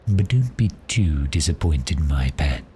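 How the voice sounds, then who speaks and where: A man playing a predatory vampire in an intimate ASMR roleplay, teasing and menacingly gentle, with a breathy, half-whispered voice. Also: extremely low pitch, very animated, slight background noise, heavily acted. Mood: teasing, amused. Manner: slow, teasing, menacingly gentle, lingering pauses. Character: cheerful, predatory male vampire, silkily toying with prey.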